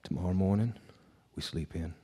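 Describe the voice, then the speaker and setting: An actor playing a haunted, world-weary bar singer, brooding and halting, in a live radio drama. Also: male voice, deep voice, mellow, breathy edge. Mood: tender, resigned.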